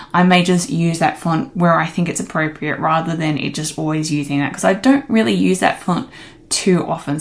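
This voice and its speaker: A woman talking through a design software tutorial in a casual, friendly, step-by-step way. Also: lowish voice, gravelly.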